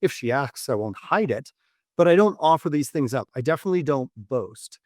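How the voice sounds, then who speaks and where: Man, podcast host, dating coach, medium pitch, measured phrasing, repeats words, instructional monologue, dry recording. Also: lively intonation, a little mellow, no echo.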